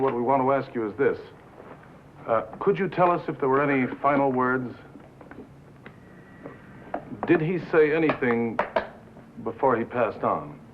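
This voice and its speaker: An actor playing a smooth, insistent broadcasting executive in a 1950s film drama, formal and coaxing. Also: male voice, mid-range voice, lightly acted. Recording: tinny, narrow 1950s film soundtrack, faint noise.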